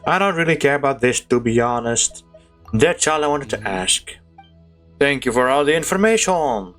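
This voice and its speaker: A man's voice: mid-range voice, expressive, moderate pace, a bit bright, soft background music. Casual, slangy male game streamer. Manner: informal, slangy. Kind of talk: casual gameplay commentary.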